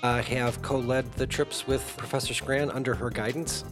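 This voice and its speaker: A man in a mid-range voice hosting a podcast with formal, welcoming introductions. Music sits clearly behind him. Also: mid-range voice, flat delivery, moderate pace. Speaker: podcast host, theater professor.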